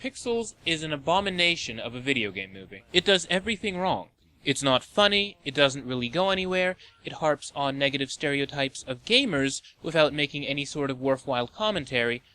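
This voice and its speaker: A man's voice: on the high side, big pitch swings, a little fast, pushed and bright. A man hosting and narrating a scripted video essay in an opinionated, sardonic manner. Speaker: host of a video game movie list show.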